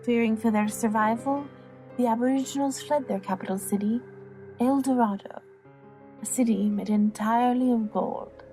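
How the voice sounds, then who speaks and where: A woman playing an alluring, worldly courtesan who tells tales in a soft, measured, theatrical way for an audiobook drama. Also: a little high, fairly even intonation, soft background music, close to an everyday voice, poor audio. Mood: hushed storytelling. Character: alluring young female courtesan telling legends, worldly and teasing.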